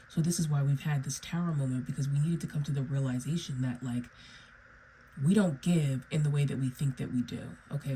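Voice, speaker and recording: Woman, tarot reader, low and mellow tone, slightly raspy from a cold, rambling delivery with frequent "okay?", faint hiss behind. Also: rough recording. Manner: meandering, repeats "okay?" and "right?". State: under the weather, raspy. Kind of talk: solo tarot reading to viewers.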